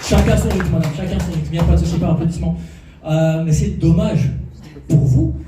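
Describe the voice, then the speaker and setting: Playful stand-up comic with a high voice. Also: male voice, a little fast, over loud music. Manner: playful crowd work, teasing the audience. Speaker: stand-up comedian. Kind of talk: stand-up comedy routine. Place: comedy club stage before a live audience. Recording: echoey room, from far off.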